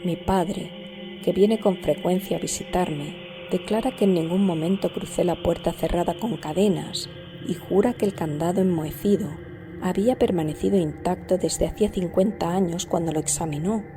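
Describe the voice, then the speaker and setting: A woman narrator giving a measured, scripted reading of a gothic horror story. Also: fairly low pitch. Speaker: audiobook narrator. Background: music.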